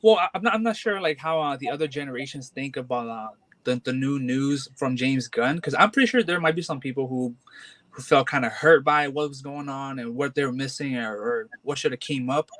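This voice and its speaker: A man's voice: mid-range voice, fairly quick, a bit bright. A man in his twenties, a comic collector, talking casually and hesitantly with many fillers and restarts. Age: 20s. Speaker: guest collector. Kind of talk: casual chat.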